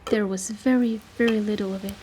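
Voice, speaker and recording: A woman reading scripted literary narration for an audio drama, with measured, deliberate phrasing. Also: mid-range voice, steady tone, mellow, a little hiss or hum, rough recording.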